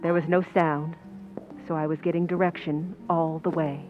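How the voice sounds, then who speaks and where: A woman playing a scheming stand-in actress confessing to murder in an old-time radio suspense narration, with tense, deliberate delivery. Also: low voice, flat delivery, phone-quality sound, natural voice. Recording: music under the voice.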